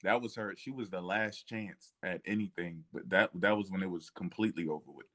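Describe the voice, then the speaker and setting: A man chatting informally about history, thinking aloud in a halting way as he works out details. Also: low-pitched, sharp tone. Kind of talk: casual history discussion.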